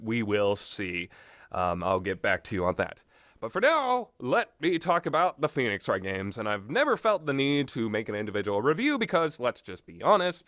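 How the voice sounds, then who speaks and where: A man's voice: on the low side, fairly bright tone, no echo, studio quality. A man giving a casual, unscripted, rambling review of visual novels, blunt and opinionated, often backtracking and going off on tangents.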